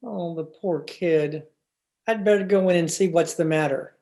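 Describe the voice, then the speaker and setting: A woman's voice: lowish voice, measured pace, mellow. A woman playing a concerned, slightly sheepish husband in a 1940s-style radio comedy, earnest and conversational. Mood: flustered, sheepish. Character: concerned, mildly sheepish young-ish husband.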